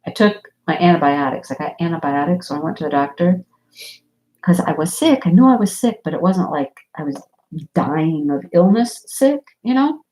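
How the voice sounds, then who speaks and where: A woman talks in a rambling, easygoing way while she works on a craft project. Her voice sits a little low, and her nose is stuffy and sniffly from a cold. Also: animated, moderate pace, darkish tone, poor audio. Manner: rambling, chatty, laughs often. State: stuffy nose from a sinus infection. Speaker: diamond painting livestream host. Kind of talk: casual chat while crafting.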